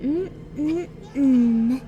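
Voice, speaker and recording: A woman acts out a flirtatious, cruel demon princess with a high-pitched voice. She takes her time, taunting with theatrical, mock-sweet relish. Clear music plays behind her in the style of an ASMR audio drama. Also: drawn-out words, dark tone, lo-fi sound. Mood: scheming, sardonic. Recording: music under the voice.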